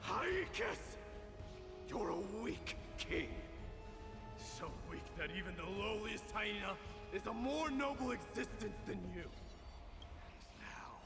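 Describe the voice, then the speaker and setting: Vengeful warrior prince, sweeping high intensity. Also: male voice, animated, vocal fry, heavily acted. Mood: menacing. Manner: theatrical, emphatic, deliberate. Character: vengeful young warrior prince, proud, defiant, leader of a detachment. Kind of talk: dramatic video game acting. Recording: long reverb under game music.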